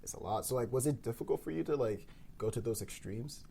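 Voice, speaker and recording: A woman's voice: extremely low pitch, quick pace, gravelly, mellow. A woman hosting a casual round table discussion as a podcast host and writer. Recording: faint background noise and room ambience.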